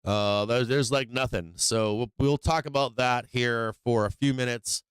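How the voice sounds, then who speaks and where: A man's voice: mid-range voice, steady tone, a little slow, clear, resonant tone, no room sound. A man hosting a live stock market stream, casual and slangy, rambling with many fillers and restarts, saying he is losing his voice.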